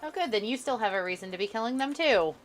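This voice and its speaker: A woman's voice: on the high side, lively intonation, quick pace, faint music behind. A woman streaming a co-op game live, bantering with her co-player in a dry, sarcastic way. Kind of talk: casual gameplay chat with a co-player.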